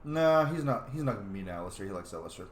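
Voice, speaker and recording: A man hosting a let's play, commenting casually and excitedly, swearing and humming along as he plays. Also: on the low side, quick pace, a little hiss or hum. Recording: echoey room. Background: game music.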